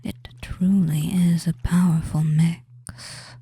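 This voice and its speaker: Very slow, breathy hypnotic whisperer. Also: medium pitch, level tone, dark tone. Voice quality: breathy, mostly unvoiced whisper. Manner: slow, soothing, drawn-out hypnotic delivery with pauses. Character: doting, controlling fantasy witch hypnotist, soothing and possessive. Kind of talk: whispered hypnosis roleplay, ASMR.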